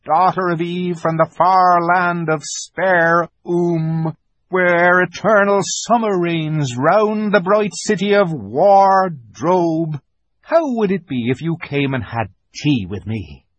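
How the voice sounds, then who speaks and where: A man's voice: high-pitched, slow pace, fairly bright tone, dry recording, highs cut off, pitched above the performer's own voice. A man voicing a polite, fussy, eager fawn creature in a storybook, with formal, flustered courtesy. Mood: eager, inviting.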